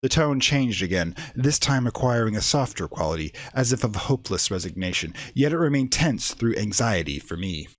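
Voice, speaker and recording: A man's voice: fairly low pitch, expressive, moderate pace, hardly any pauses, close to an everyday voice. A man playing a haunted, horror-stricken man recounting his friend's doom in a tense, florid radio play narration. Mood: grim, tense.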